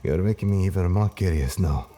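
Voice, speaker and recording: An actor playing a gentle, patient, good-humoured old Pope in an audio drama. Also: male voice, low voice, brisk, slight background noise, natural voice. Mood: calm, curious. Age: older.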